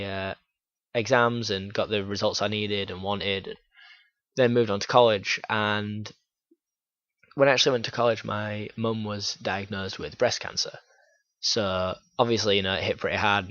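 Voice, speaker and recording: Halting young Yorkshire-born man. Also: lowish voice, a bit bright, no echo, muffled recording. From Yorkshire, England. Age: young adult. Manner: halting, filler-heavy, frank. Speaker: YouTuber. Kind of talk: personal vlog storytelling.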